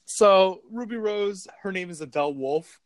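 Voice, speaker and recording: A woman's voice: mid-range voice, moderate pace, no echo. A woman casually recapping a movie on a podcast, laughing often and making sarcastic asides. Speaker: podcast co-host.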